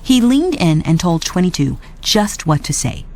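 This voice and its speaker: A woman narrating a read-along storybook in an expressive, scripted storytelling style. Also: lowish voice, measured pace. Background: music.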